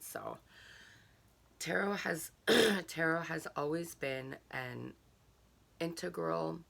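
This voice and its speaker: A woman with a hoarse, gravelly voice talks slowly through an unboxing. She pauses often on fillers. Her tone is bright and sharp despite the rasp. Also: deep voice. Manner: halting, many fillers. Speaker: subscription box reviewer. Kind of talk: casual unboxing chat, reading a card aloud.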